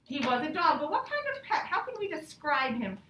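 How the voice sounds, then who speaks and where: A woman's voice: high-pitched, very animated, fairly quick. A woman working as an elementary teacher, leading a lesson and read-aloud for children in simple, repeated phrases. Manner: instructional, simple phrasing with repetition. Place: indoor classroom. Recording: echoey classroom, distant mic, background noise.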